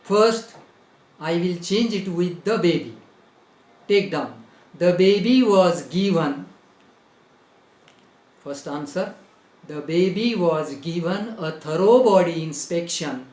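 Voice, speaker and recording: Man, Indian English accent, teacher, slow deliberate dictation, fairly high voice, echoey room. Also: expressive, darkish tone. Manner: slow, spells out words letter by letter; repeats phrases for dictation. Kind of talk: grammar lesson.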